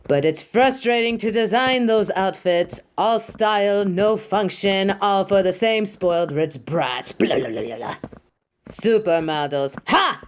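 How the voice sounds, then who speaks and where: A woman's voice: medium pitch, measured pace, slight background noise, close to an everyday voice. A woman playing a haughty, theatrical costume designer for superheroes in a roleplay audio drama, imperious and dismissive yet fond. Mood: scornful. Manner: theatrical, imperious, dismissive, calls listener darling.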